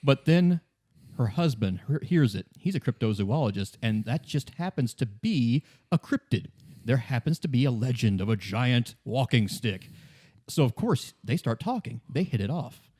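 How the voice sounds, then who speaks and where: A man hosting as game master, narrating a story in a halting, conversational way and repeating words. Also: mid-range voice, expressive, mellow, husky. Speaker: game master and podcast host. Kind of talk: casual improvised narration of a tabletop-style story.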